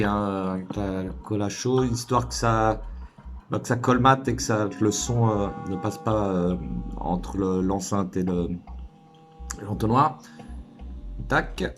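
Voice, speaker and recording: Guy with a lowish voice doing a laid-out diy how-to, informal, kinda hesitant, bit of music behind. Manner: informal, hesitant, thinking aloud while working. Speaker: DIY tutorial maker. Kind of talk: casual hands-on tutorial narration.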